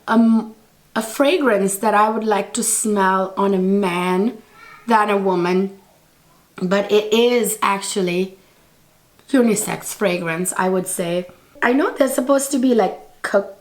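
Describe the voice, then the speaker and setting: Woman, 30s, hoarse and half-lost voice, chatty beauty vlogger rambling to camera. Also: medium pitch. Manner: chatty, rambling, with restarts and "you guys". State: hoarse, lost voice from a sore throat. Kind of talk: casual review to camera. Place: indoor studio set with textured wall.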